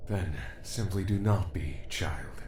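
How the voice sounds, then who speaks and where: A man playing a brooding dark god and father, speaking gravely and deliberately in a dramatic audio drama. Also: low-pitched, a little hiss or hum. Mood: stern, paternal. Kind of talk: dramatic first-person audio drama narration. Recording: loud music under the voice.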